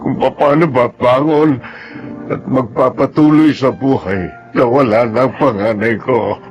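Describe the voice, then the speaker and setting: A male actor as a middle-aged father in sorrow, speaking slowly and plaintively. It is radio drama delivery, halting and bewildered, with music clearly behind him. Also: mid-range voice, steady tone, dull audio, natural voice. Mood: grieving. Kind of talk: Tagalog radio drama acting.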